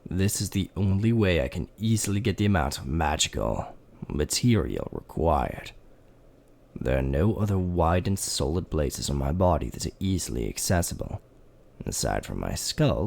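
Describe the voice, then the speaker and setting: A methodical skeleton scientist, as a man voices him in a fan dub, recites his reasoning in a deep, gravelly voice. His delivery is measured and steady, and his pitch lifts and falls as he reassures himself. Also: exaggerated voice. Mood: resolute.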